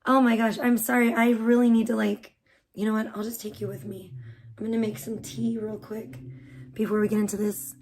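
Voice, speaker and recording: A woman live streamer, hoarse and losing her voice, chatting bubbly and rambling with her viewers while making tea in her kitchen. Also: on the high side, little pitch movement, a little fast, darkish tone. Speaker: live streamer greeting chat. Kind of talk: casual live stream chat.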